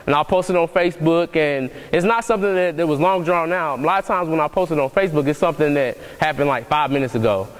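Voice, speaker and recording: A man's voice: a little high, quick pace, few pauses. A young man giving an earnest, preaching-style motivational talk to a congregation, repeating and restarting his phrases as he builds emphasis. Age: young adult. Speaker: motivational speaker. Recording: echoey hall reverb.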